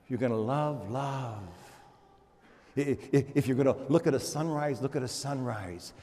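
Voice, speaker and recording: A man giving a homily as a priest, animated, moving between loud exclamations and hushed murmurs, often repeating words and restarting in a conversational way. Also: medium pitch, normal speed. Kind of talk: sermon. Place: large church. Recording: long church reverb.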